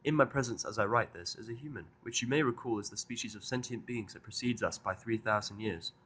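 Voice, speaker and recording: A man's voice: on the low side, quick pace, fairly bright tone, breathy edge. A man voicing a studious, easily flustered young female unicorn pony who speaks in formal, pedantic phrases for a fan fiction audio drama. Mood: formal, dictating.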